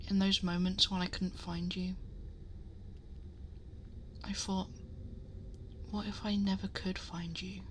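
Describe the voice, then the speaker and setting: A woman playing a protective, possessive dragon girl in an ASMR roleplay, speaking softly and breathily, often in whispers, in an intimate, gently scolding and fussing way. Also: lowish voice, a little hiss or hum. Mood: anxious, hurt. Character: possessive, worried, protective female dragon girl guarding her hoard. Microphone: close binaural microphone.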